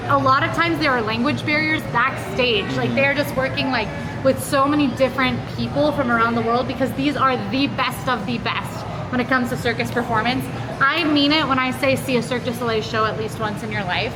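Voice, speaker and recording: A woman's voice: high voice, fairly even intonation, fairly quick, noisy background. A woman co-hosting a review video, chatting casually and giving her opinions. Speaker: co-host of a theme park review video. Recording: loud music behind the voice. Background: loud music.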